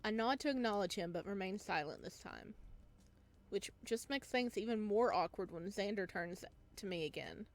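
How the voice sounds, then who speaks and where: A woman hosts a gameplay session, reading a visual novel aloud. Her voice sits at a middle pitch. She moves between the written story and off-the-cuff asides. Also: lively intonation, normal speed.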